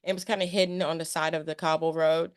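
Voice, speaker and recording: A woman's voice: low-pitched, little pitch movement, quick pace, clean tone. A woman hosting a casual interview in a chummy, emphatic way, often repeating phrases for emphasis. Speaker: interview show host.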